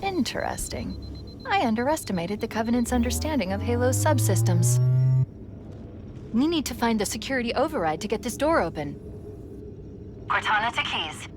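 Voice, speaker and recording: An actress playing a confident, analytical female military AI in a video game cutscene, crisp and self-assured. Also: a little high, very animated, moderate pace, pauses often. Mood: analytical, intrigued. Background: cinematic music.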